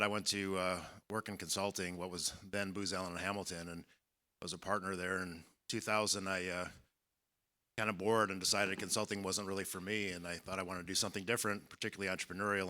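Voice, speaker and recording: A man in his fifties speaking as a panelist at a conference, measured and reflective, with many fillers. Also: low-pitched, little pitch movement, sharp tone. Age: 50s. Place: conference hall.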